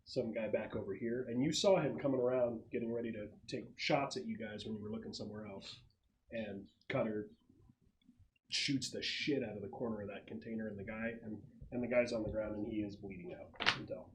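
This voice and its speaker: A man running a tabletop roleplaying game as game master, narrating action and explaining rules in an informal, halting way. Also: lowish voice, steady tone, rough recording. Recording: clear background noise.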